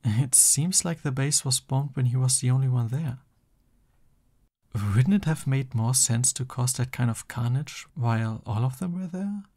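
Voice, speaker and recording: A man's voice: mid-range voice, lively intonation, measured pace, warm tone. A man playing a young supervillain boyfriend in an ASMR roleplay, theatrical and boastful but easily flustered and affectionate. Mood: frustrated, pondering.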